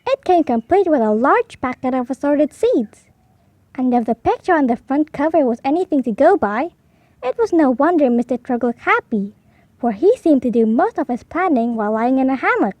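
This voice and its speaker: A woman reads a picture book aloud for young children in a high voice. Her narration is expressive and highly animated, and the recording is close and dry. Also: moderate pace, dry recording. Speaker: storybook reader.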